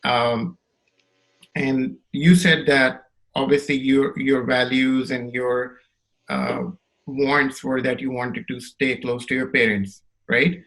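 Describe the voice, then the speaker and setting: Male podcast host, mid-pitched voice, thoughtful and measured, frames long questions. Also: steady tone, a bit bright, poor audio. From Saudi Arabia. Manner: thoughtful, builds questions at length, checks in with "right?". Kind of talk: interview questions.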